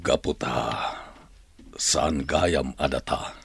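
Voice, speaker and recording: An actor with an Ilocano accent playing a grave, brooding prisoner in a radio drama, speaking haltingly. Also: male voice, very deep voice, creaky, a bit bright, breathy edge, exaggerated voice. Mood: solemn, apologetic. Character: brooding jailed man, grave object of her love.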